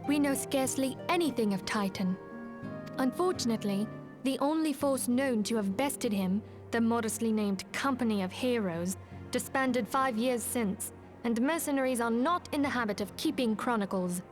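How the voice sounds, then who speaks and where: A composed, earnest female leader from a fantasy game, played by an actress. Her voice is a little high, and she delivers formal, measured briefings over faint music. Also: natural voice. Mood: earnest, resolute. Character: composed, earnest woman leading a band of heroes. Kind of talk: scripted fantasy game dialogue.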